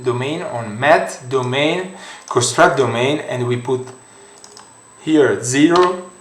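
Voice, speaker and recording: Italian-accented young man, non-native English, slow and halting tutorial delivery, echoey room sound. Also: mid-range voice, a little hiss or hum. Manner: halting, many fillers and restarts. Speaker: software tutorial creator. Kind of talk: screen-recorded software tutorial.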